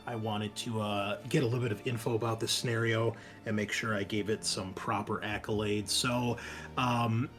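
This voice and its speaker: A man's voice: fairly low pitch, flat delivery, moderate pace, a bit bright, faint music behind. A man hosting a show, giving a chatty, informal introduction in a casual style. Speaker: podcast host.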